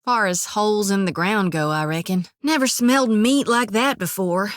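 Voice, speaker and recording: A woman's voice: medium pitch, moderate pace, dry recording, clean studio sound, natural voice. An actress voicing a folksy young woman priest who carries a soul lantern in a video game, talking in a plainspoken drawl. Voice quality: folksy drawl, full of "reckon" and "ain't". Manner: plainspoken, earnest, sometimes wry. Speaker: game companion character. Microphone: close studio mic.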